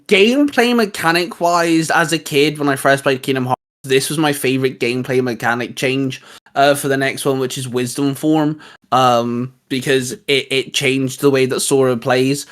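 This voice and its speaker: A man's voice: mid-range voice, fairly even intonation, moderate pace, fairly bright tone. A man hosting a casual gaming chat, talking in an enthusiastic, rambling way. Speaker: host.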